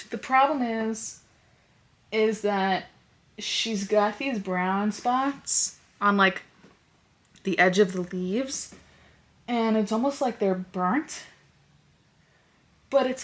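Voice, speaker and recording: A young woman with a stuffy, congested nose, chatting casually in her bedroom and rambling with lots of fillers. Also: medium pitch. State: congested, sniffly. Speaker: lifestyle vlogger. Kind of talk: casual vlog chat. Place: bedroom at home.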